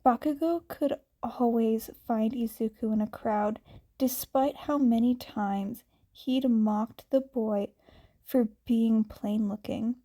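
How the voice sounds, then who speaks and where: A woman reading fanfiction aloud as a narrator in a soft, unhurried way. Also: fairly high pitch. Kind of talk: amateur audiobook narration.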